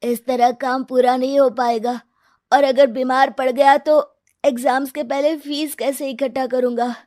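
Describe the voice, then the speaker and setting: A woman's voice: high voice, flat delivery, measured pace, a little mellow, dry recording, studio quality, pitched above the performer's own voice. A woman voicing an earnest, hardworking and grateful young schoolboy in a moral story. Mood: worried, determined.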